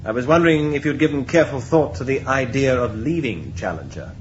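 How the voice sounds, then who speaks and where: Doubtful, mid-pitched crewman played by a man. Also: expressive, a little fast, close to an everyday voice. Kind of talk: 1980s radio drama acting. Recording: dull old radio recording, light noise.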